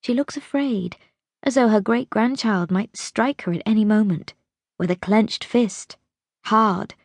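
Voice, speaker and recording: A woman reading an audiobook as narrator, telling the story expressively in a measured, dramatic way. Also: fairly high pitch, no echo.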